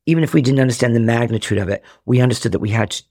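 A man in his forties from Rhode Island, a podcast guest telling candid stories, acting out family dialogue in lively bursts. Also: mid-range voice, very fast, dry recording, clean studio sound. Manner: animated, acts out quoted family dialogue. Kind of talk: candid storytelling in conversation.

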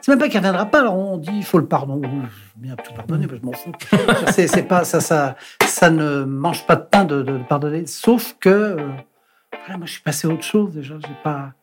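A man in his sixties giving a candid interview as an artist, speaking frankly and reflectively and often trailing off into pauses. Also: a little high, expressive, soft background music. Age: 60s.

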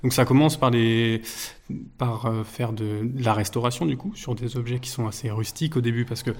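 A man's voice: mid-range voice, steady tone, fairly quick. A man in his twenties, a guest in a casual interview, talking with many fillers and restarts. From France. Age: 20s.

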